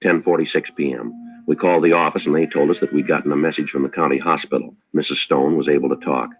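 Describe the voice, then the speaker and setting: An actor playing a terse, businesslike police detective in a 1950s radio drama, deadpan and matter-of-fact, with a husky voice. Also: male voice, extremely low pitch, fast, rough recording. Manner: clipped, deadpan, politely matter-of-fact questioning. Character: terse, businesslike male police detective narrating his case. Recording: vintage 1950s radio broadcast.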